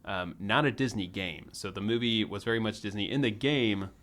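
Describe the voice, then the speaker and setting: A man's voice: lowish voice, moderate pace, a bit bright. A man hosting a casual movie review chat, talking in a rambling way and swearing freely. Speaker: podcast host.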